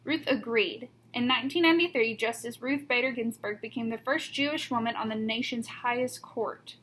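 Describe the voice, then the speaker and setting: A woman reading a children's picture book aloud like a teacher, with deliberate emphasis on key words. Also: fairly high pitch, a bit bright, rough recording. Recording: noticeable background noise.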